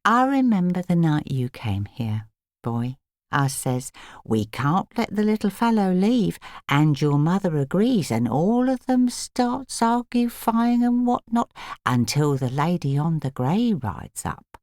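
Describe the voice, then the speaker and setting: A woman's voice: on the low side, very animated, unhurried, darkish tone, no room sound, clean studio sound. An actress playing a feisty, plain-spoken old rustic woman who tells stories in folksy dialect, in an audiobook drama. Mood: fond reminiscing. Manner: folksy storytelling with dialect grammar.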